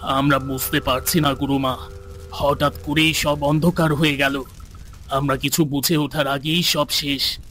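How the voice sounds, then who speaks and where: Guy as nervous cartoon guard, kinda high voice but monotone, submissive, bit of music. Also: unhurried. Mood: fearful, pleading. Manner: fearful, deferential. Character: anxious male guard serving an evil sorceress.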